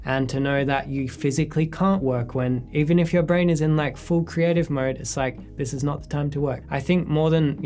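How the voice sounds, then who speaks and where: A man in his thirties, a photographer, answering viewer questions in a relaxed, reflective sit-down chat in his studio. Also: medium pitch. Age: 30s. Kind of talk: casual sit-down Q&A. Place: his studio space, indoors.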